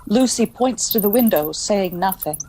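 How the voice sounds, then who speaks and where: A woman's voice: mid-range voice, expressive, normal speed, dark tone, slightly rough, low-quality recording. A woman reading stage directions as the narrator of a scripted radio play.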